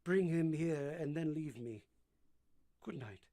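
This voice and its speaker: An actor playing a brooding, commanding gentleman master of a manor in an old-time radio drama, abrupt and imperious. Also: on the high side, lively intonation, takes it slowly. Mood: hushed, grave.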